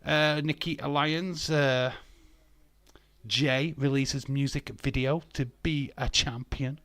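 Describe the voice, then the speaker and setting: A man in his fifties chats loosely at middle pitch, reading viewer comments and firing back blunt, sarcastic answers. He swears without a second thought. His voice sounds close and dry, with no room around it. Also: steady tone, slow, fairly bright tone, no room sound. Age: 50s. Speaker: live stream host. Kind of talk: casual chat reading live comments.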